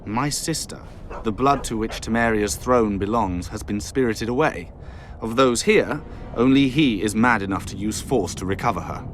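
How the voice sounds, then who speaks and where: A man's voice: on the low side, normal speed, soft background music, close to an everyday voice, poor audio. An actor playing a proud, bitter nobleman of royal blood in a video game, formal and sardonic in manner. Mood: grave, haughty. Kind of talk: video game cutscene dialogue.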